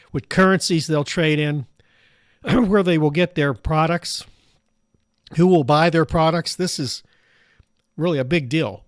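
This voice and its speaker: Raspy middle-aged man hosting news commentary. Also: a little high, dry recording, clean studio sound. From United States. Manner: steady, measured, frequent "uh" fillers. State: raspy from allergies. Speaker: show host.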